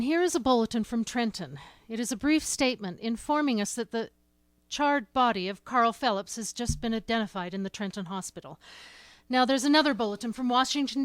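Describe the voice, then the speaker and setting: Woman playing radio drama news announcer, higher-pitched, urgent, halting, formal, very dry recording. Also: no echo, close to an everyday voice. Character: flustered female radio news announcer relaying urgent bulletins. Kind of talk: old-time radio news bulletin acting.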